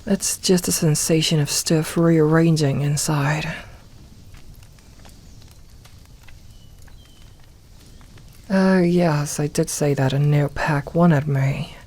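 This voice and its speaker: A woman voicing a gentle, comforting young werewolf in a soft ASMR roleplay, talking intimately and reassuringly to one listener with light humour. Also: low-pitched, fairly even intonation, unhurried. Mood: fond, reflective. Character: gentle, comforting young female werewolf, playful self-styled lone wolf.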